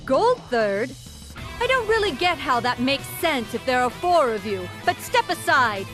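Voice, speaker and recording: A woman's voice: high-pitched, sing-song, measured pace, music in the background. A woman voicing a proud, commanding young warrior goddess in an anime game, with formal, imperious delivery. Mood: indignant. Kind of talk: dramatic anime game acting.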